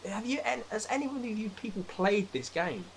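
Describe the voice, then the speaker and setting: A man's voice: high-pitched, very animated, normal speed, vocal fry, slight background noise. A British man in a casual, opinionated film discussion, talking emphatically with exasperated, sweary rants. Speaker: podcast speaker.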